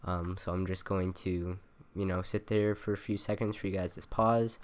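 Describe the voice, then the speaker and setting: A man's voice: deep voice, steady tone, normal speed, smooth. A young man with a nasal voice rambling through a game tutorial, with lots of ums and self-corrections, on a cheap desk mic. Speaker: gaming tutorial maker. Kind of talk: casual game tutorial voice-over. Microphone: cheap USB desk mic by the keyboard.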